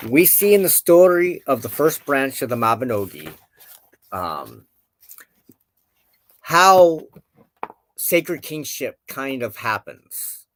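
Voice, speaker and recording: A man hosting an informal, reflective spiritual talk, speaking in a halting, contemplative way as he searches for words. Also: lowish voice. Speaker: host of a spiritual show.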